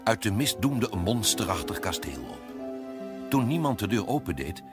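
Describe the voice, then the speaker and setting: A man's voice: fairly low pitch, very animated, normal speed, loud music behind the voice. A man narrating a scripted fairy tale as a storyteller.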